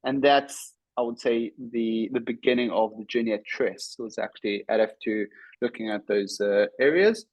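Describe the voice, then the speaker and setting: A man in his thirties with a South African accent, a guest telling his career story in casual interview talk, with lots of "um" and "uh" pauses. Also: fairly low pitch, a little mellow, no echo, low-quality recording. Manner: talks in short bursts with many "um" and "uh" pauses. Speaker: guest, startup CEO.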